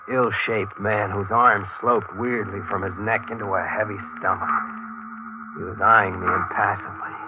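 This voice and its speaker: An actor playing an earnest, tense newspaper reporter, clipped and dramatic in 1940s radio style. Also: male voice, fairly low pitch, natural voice. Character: earnest, tense young-sounding male newspaper reporter hero. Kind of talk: 1940s radio acting and first-person narration. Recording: tinny old radio broadcast, music behind.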